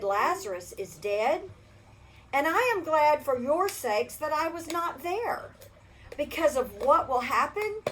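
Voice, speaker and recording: Slow, high-voiced older woman reading aloud. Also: a little hiss or hum, rough recording. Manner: gentle, slow storybook reading that asks the kids questions. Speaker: children's storyteller. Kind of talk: reading a Bible story to children.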